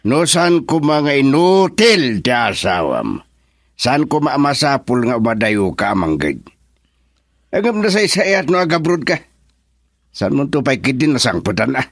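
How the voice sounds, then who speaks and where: A stern, protective older father in an Ilocano radio drama, Filipino accent. His medium-pitched voice swings widely with melodrama. The sound is dry and close. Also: sing-song, a little slow, no echo. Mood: concerned.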